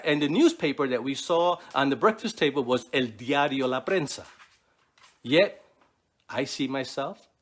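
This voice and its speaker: A man's voice: mid-range voice, fairly bright tone. A middle-aged man from New York City, a Navy chaplain, delivering a prepared speech in an earnest, preacherly way with rhythmic lists. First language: Spanish. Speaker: keynote speaker, Navy chaplain.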